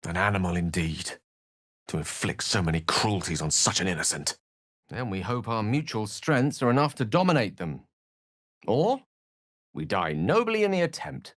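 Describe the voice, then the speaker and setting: An actor playing an eloquent, courteous and wry wizard in a fantasy video game, with a British accent and articulate, theatrical phrasing. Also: male voice, lowish voice, very animated, normal speed, a bit bright, no room sound, studio quality. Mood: grave, measured. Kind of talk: dramatic fantasy game dialogue.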